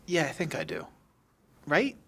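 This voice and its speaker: A man's voice: on the high side, very animated, a little slow, a bit bright, breathy edge, pitched above the performer's own voice, exaggerated voice. A man playing Taylor, an anxious, conflicted character seeking reassurance in a tabletop game, speaking hesitantly. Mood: anxious, uncertain. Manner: hesitant, uncertain.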